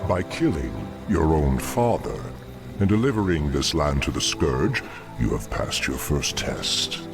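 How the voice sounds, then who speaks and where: An actor playing a sinister, commanding demon dreadlord in a fantasy game, slow and formal in his menace. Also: expressive, full character voice. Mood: menacing, smug. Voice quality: very deep, gravelly. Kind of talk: fantasy video game acting. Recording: music under the voice.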